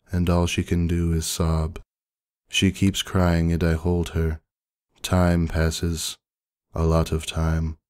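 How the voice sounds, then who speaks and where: A man voicing a stoic older sister pony, narrating a story in a terse, deadpan way. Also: sits low, no room sound, studio quality. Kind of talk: dramatic first-person story reading.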